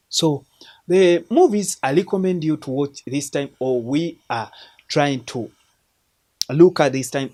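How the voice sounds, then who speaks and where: A man's voice: fairly high pitch, darkish tone. Earnest man hosting a show. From Uganda. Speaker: host of a film recommendation show. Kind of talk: casual spoken film review.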